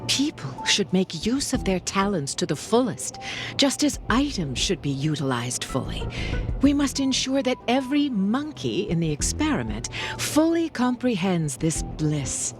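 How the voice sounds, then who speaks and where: A woman's voice: medium pitch, big pitch swings, unhurried. An actress playing a cold, fanatical female research scientist in a video game, speaking in a theatrical, condescending, oratorical way. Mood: fervent, grandiose. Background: game music.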